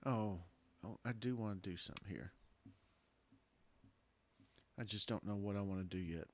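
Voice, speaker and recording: A man with a hoarse voice, casually commenting on a strategy game he is playing and thinking aloud in a hesitant way. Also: fairly low pitch, moderate pace, darkish tone, slight background noise. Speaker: gameplay commentator. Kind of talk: casual let's-play commentary.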